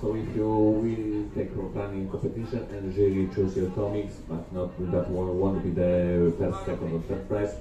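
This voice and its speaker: A man giving a live presentation as a project presenter, speaking halting non-native English with frequent hesitation. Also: deep voice, little pitch movement, warm tone. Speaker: presenter of a comics competition project. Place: large festival hall, talk to an audience. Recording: very echoey hall, far mic, crowd murmur.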